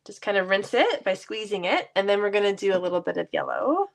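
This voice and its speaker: A woman's voice: mid-range voice, big pitch swings, fast, gravelly. A woman with a stuffy cold voice, chatting casually and laughing lightly as she demonstrates card making in her basement craft room. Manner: chatty, laughs often, self-deprecating.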